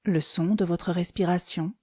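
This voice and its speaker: A woman guides a meditation in a fairly low voice with a very mellow, relaxed tone. She gives instructions calmly and soothingly, in short phrases separated by pauses. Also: slightly rough. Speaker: yoga nidra instructor.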